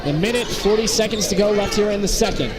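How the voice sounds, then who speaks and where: A man's voice: high-pitched, fast. A man doing live play-by-play basketball commentary in clipped, fragmentary calls. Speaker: play-by-play announcer. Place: indoor basketball gym, up behind a balcony. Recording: loud gym crowd and court noise. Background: crowd, bouncing basketballs.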